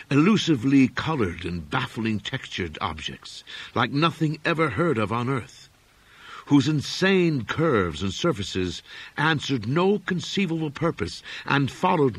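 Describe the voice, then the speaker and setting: A man reads gothic horror with a husky edge to his voice. His pitch rises and falls widely as he gives a measured, literary narration. The delivery is dramatic and expressive, suited to an old tale of dread. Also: no echo. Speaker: audiobook narrator.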